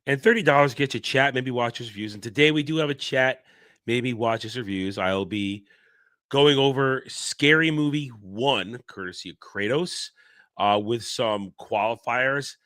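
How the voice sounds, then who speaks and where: A man's voice: mid-range voice, a little slow, fairly bright tone, no room sound, studio quality. A man hosting a casual livestream, sick, congested and tired, talking bluntly and rambling with restarts. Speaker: livestream host.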